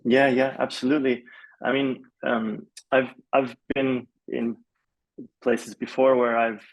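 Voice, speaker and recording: A man's voice: mid-range voice, little pitch movement, slow, rough recording. A man from Malaysia telling stories casually as an interview guest, in a rambling, hesitant way full of filler phrases. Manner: rambling, hesitant, peppered with "like" and "you know". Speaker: podcast guest, film composer.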